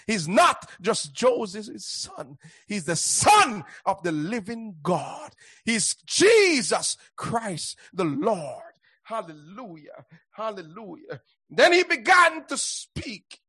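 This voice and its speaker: A man preaching a fervent Pentecostal sermon in a husky, raspy voice, declaiming and punctuating his phrases with exclamations of praise. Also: sits high, bright, forceful tone.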